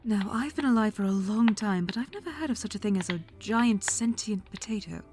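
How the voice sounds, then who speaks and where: An ageless aristocrat who speaks with formal, courtly care and has the air of a patient scholar, as a woman acts her. Her voice sits at a middle pitch for a woman, over a faint music bed. It is a fan audio drama. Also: expressive, fairly quick, a little mellow, natural voice.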